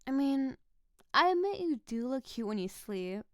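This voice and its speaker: A woman's voice: high-pitched, very animated, normal speed, no room sound, studio quality. A woman playing a playful, possessive snow-spirit wife in an audio roleplay, coaxing and doting in a theatrical way. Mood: doting, pleading. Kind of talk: scripted audio roleplay addressed to the listener.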